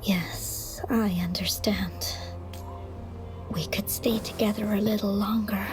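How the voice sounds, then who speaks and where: A woman voicing a frail, gentle, ailing artist in a video game, soft, halting and earnest. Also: medium pitch, steady tone, music in the background, close to an everyday voice. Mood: moved.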